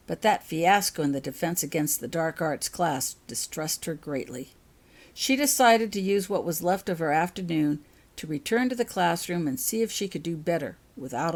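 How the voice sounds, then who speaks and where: A woman's voice: fairly low pitch, darkish tone. A woman reading her own fiction aloud as an audiobook-style story narration.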